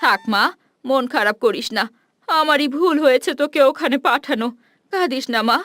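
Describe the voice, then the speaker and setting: A woman voicing a busy, practical village mother in a cartoon fairy tale, speaking in a brisk motherly way. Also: high voice, expressive, fairly bright tone, faint music behind. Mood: concerned, soothing.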